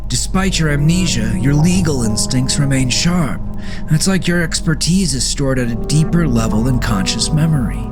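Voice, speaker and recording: A man's voice: mid-range voice, lively intonation, moderate pace, pitched above the performer's own voice, lightly acted. A man voicing an earnest, clinical psychiatric doctor who explains things in a horror story. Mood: impressed, clinical. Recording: voice over prominent background music.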